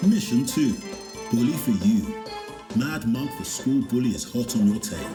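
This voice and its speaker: A man's voice: medium pitch, animated, measured pace, echoey room, over music, lightly acted. Man as a swooping virtual game announcer. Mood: showy, gleeful. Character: showy male game announcer of a virtual school. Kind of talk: video game mission announcements.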